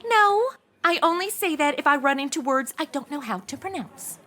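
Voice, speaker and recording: A woman's voice: high-pitched, expressive, normal speed, pushed and bright. A woman playing a bubbly, ditzy young insurance seller in a comedy audio drama, with flippant, slangy Valley-girl chatter. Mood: flippant, gossipy.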